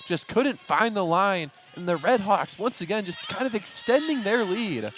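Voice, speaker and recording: A man's voice: sits high, expressive, normal speed, over music. A man giving color commentary on live sports, with the venue audible behind him.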